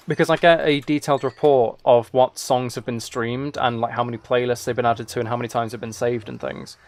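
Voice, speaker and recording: A tired-sounding man chatting casually on a gaming livestream, rambling and interrupting himself as he replies to viewers. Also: mid-range voice. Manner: rambling, self-interrupting, replying to chat comments. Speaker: livestreamer and musician.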